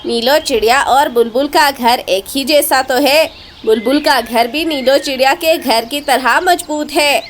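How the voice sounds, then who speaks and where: A woman's voice: sits high, a little hiss or hum. A woman voicing a lazy, persuasive male crow in a cartoon story, with an exclamatory, urging manner. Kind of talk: Hindi cartoon story dialogue.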